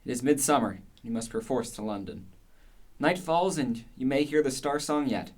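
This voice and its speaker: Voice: medium pitch, normal speed, lightly acted. An actor playing a passionate, contrarian older philosopher in a radio play, declamatory and emphatic. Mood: knowing.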